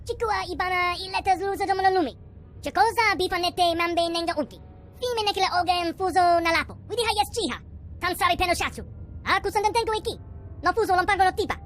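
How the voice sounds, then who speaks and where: An actor voicing a small excitable alien creature in a video game, squeaky and babbling in a made-up tongue. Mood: agitated chatter. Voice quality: squeaky, cartoonish. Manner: rapid chattering babble. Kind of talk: video game character acting. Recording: music behind the voice.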